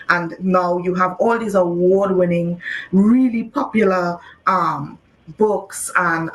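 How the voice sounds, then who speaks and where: A woman from Trinidad and Tobago, an author talking casually in an interview, lively and laughing often, with many "you know"s. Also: mid-range voice, rough recording. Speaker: author guest.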